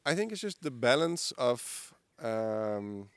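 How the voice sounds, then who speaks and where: A man's voice: mid-range voice, very animated, slow pace, fairly bright tone, smooth, no echo. A man in his early forties with a Dutch accent, answering casually as a guest in an interview outdoors. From the Netherlands. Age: early 40s. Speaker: podcast guest. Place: outdoors in a park.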